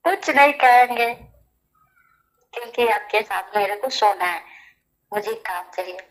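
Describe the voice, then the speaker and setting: A woman's voice: mid-range voice, unhurried, a bit bright. A woman from Delhi talking on a phone call, insistent and repetitive, answering in short plain sentences. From Delhi, India. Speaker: caller seeking film work. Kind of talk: phone conversation.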